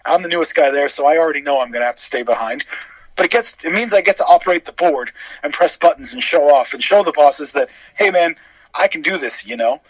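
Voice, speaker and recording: Chatty higher-voiced man. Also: lively intonation, fast talker, no room sound, poor audio. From born in Wales, grew up in Canada. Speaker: radio guest, DJ and podcaster. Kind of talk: casual chat and storytelling.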